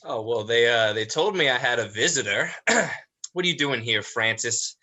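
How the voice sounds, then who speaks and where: A man plays a weary, chiding older brother in a stage drama. His voice is bright and tense, with pitch that jumps as he pleads in halting phrases. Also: animated. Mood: weary, annoyed. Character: weary, exasperated older brother working at a slaughterhouse. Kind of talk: dramatized horror play script reading.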